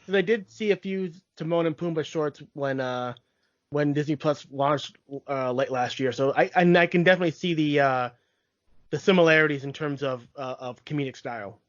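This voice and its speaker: A man's voice: on the high side, normal speed, fairly bright tone, dry recording. A man co-hosting a podcast in casual conversation. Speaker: podcast co-host. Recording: dull, dry lo-fi audio.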